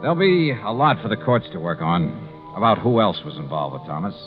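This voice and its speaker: An American actor playing a tough, shrewd insurance investigator in a 1950s radio drama, with clipped, hard-boiled delivery. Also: male voice, sits low, big pitch swings, fairly quick. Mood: wry, grim. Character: tough, shrewd male insurance investigator narrating his case. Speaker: lead actor, narrator. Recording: muffled 1950s radio recording with music.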